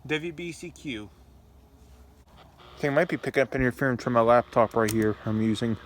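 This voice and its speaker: A man's voice: medium pitch, normal speed. Casual man narrating over faint noise. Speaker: radio hobbyist presenter. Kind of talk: casual hands-on demonstration talk. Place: indoors at night beside radio and laptop. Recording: home video with background noise.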